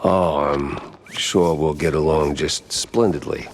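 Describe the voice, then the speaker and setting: An actor playing a world-weary, wisecracking mouse private detective in a noir video game, gruff, gravelly and deadpan. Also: male voice, rumbling low, takes it slowly, background noise, heavily acted. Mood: sardonic. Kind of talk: noir game cutscene acting.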